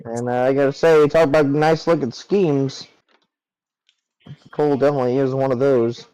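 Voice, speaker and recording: Smooth-voiced male race announcer. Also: mid-range voice, fairly even intonation, normal speed, dark tone, low-quality recording. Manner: chatty, conversational race calling. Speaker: play-by-play commentator. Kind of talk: sim racing broadcast commentary.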